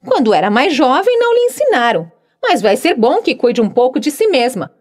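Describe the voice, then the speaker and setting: A woman's voice: high-pitched, sing-song, brisk, voice pushed up. Woman doing a frank cheerful young maid. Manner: frank, cheerful, matter-of-fact. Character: good-humored plainspoken young housemaid.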